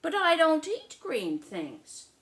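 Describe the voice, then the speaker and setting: A high, swooping little girl's voice, put on by a woman reading a storybook. She is fussy and stubborn, and declares things with dramatic emphasis. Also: huge pitch swings, slow, fairly bright tone, exaggerated voice. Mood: indignant.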